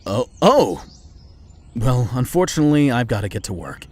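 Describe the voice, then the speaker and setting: A man voicing a flustered husband rushing off to work. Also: mid-range voice, big pitch swings, close to an everyday voice. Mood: rushed. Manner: rushed and flustered. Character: hurried, surprised husband.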